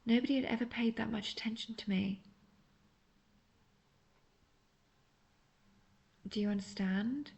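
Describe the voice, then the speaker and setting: Obsessive witch played by a woman for intimate audio roleplay: creaky, breathy, coaxing, sweetly manipulative. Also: on the high side, fairly even intonation, a little mellow. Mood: wistful, adoring. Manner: breathy, slow, coaxing, often half-whispered. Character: obsessive young female witch, lovestruck and manipulative.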